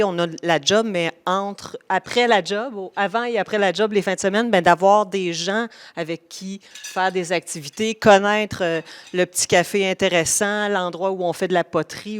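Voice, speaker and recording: A woman with a Québécois accent speaks in a smooth, clear voice. She runs through long lists with enthusiasm, stumbling into restarts and small fillers as she explains. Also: mid-range voice. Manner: enthusiastic, listing, frequent "euh" and restarts. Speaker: regional youth settlement agent. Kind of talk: informative presentation.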